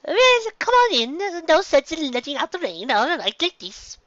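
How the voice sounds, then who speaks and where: Man acting a kindly elderly pony hostess, high-pitched, swooping intonation, folksy and fussing, storybook character. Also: huge pitch swings, normal speed, pushed and bright, dry recording, muffled recording, heavily acted. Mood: delighted, welcoming. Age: older. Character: kindly, welcoming old mare, eccentric pony hostess.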